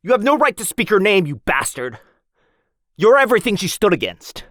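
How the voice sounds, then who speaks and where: A man playing a gentle but brave immortal lover in a fantasy audio roleplay, tender and hushed with his beloved, impassioned when defiant. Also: sits high, very animated, normal speed, dry recording, clean studio sound. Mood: defiant, furious. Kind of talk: boyfriend ASMR fantasy roleplay acting. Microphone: close, dry studio-style mic.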